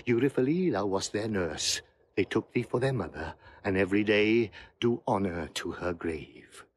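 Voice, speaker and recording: A man's voice: fairly low pitch, expressive, normal speed, breathy edge. An actor playing a proud, aggrieved older banished lord and foster father in declamatory classical Shakespearean audio drama. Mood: aggrieved, stirring.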